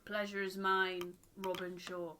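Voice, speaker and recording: Game protagonist played by a female host: guarded, dry recruit, lowish crisp-edged voice. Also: level tone, unhurried, bright, forceful tone, a little hiss or hum.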